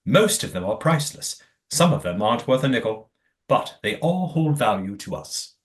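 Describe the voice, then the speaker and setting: Lively, formal master of an old manor, acted by a man. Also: mid-range voice, close to an everyday voice. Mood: formal, instructive. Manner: formal, precise, measured wording. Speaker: grand master of a secretive manor. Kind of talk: audio play acting.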